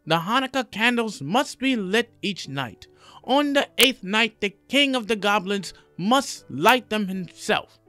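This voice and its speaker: A man's voice: sits high, lively intonation, measured pace, sharp tone, dry recording, faint music behind, pitched above the performer's own voice, heavily acted. A man voicing a worried village rabbi in a storybook reading, in a raised, earnest voice. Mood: warning.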